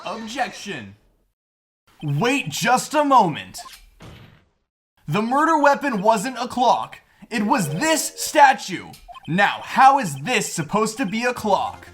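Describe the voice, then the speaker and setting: A man's voice: high voice, very animated, takes it slowly, fairly bright tone, full character voice. Man playing an emphatic, determined young defense attorney. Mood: firm. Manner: assertive, emphatic, accusing. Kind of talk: video game fan dub. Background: game music.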